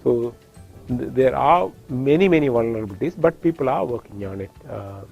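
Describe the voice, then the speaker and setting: A man from India with an Indian accent, teaching a course and explaining technical points with frequent pauses and restarts in a classroom. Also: mid-range voice, lively intonation, vocal fry, a little mellow, muffled recording, soft background music. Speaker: course instructor. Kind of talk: classroom lecture.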